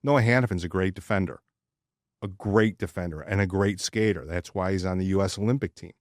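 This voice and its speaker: A man's voice: sits low, brisk, dry recording, studio quality. A man co-hosting a sports podcast, chatting casually and giving opinions in informal, sometimes profane language.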